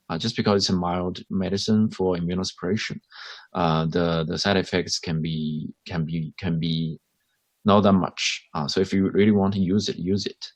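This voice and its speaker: Man, Chinese accent, hospital doctor, panel discussion, hesitant, many fillers, very deep voice, dry sound. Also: no echo. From China.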